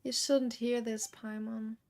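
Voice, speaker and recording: A woman giving casual live game commentary, thinking aloud in a halting, reflective way. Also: a little high, flat delivery, a little mellow. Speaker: game streamer.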